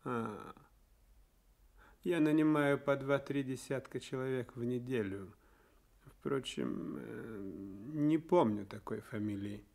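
A haughty millionaire in a radio play. He speaks very slowly in a medium-pitched voice, curt and dismissive, weighing each phrase. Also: gravelly, a little mellow. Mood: cold, dismissive.

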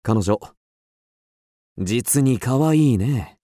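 A man playing an upper-class husband in a radio drama, suave and indulgent, teasing with gentle condescension. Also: medium pitch, expressive, very slow. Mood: amused, disapproving.

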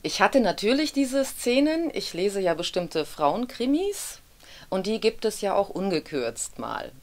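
A woman's voice: mid-range voice, very animated, measured pace. A woman in her thirties, a voice actress, talking casually and candidly in a studio interview, with a self-deprecating streak. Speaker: audiobook narrator and dubbing actress being interviewed. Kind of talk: casual, reflective interview talk. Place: indoor studio with dark backdrop.

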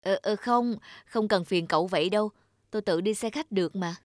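Actress as a humble, gentle country housemaid. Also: female voice, mid-range voice, a little fast, no echo, clean studio sound, natural voice. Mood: bashful. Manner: deferential, modest, soothing. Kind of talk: animated cartoon dialogue. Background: music.

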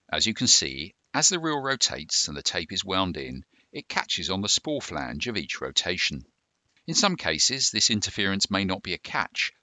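A man presenting a scripted instructional narration in a measured, formal, explanatory manner. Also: sits low, sharp tone, no echo.

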